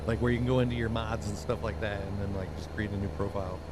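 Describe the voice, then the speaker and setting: A man's voice: on the low side, fairly even intonation, fast, noisy background. A man hosting a live stream while driving in a truck game, chatting casually with blunt talk and lots of fillers. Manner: rambling, filler-heavy, blunt.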